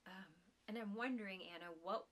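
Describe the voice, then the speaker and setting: A woman's voice: medium pitch, a little fast. A woman in her forties hosting a knitting podcast from a small room at home, chatting to listeners in a rambling way, her voice strained by allergies. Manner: chatty and rambling, warm laughs, frequent restarts. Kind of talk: casual chat to listeners.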